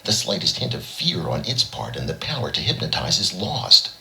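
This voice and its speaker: A man's voice: sits low, huge pitch swings, normal speed, creaky, pushed and bright, voice pushed up, full character voice, rough recording. A man voicing a cool-headed, desperate male fugitive in a short story reading. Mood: cool, determined.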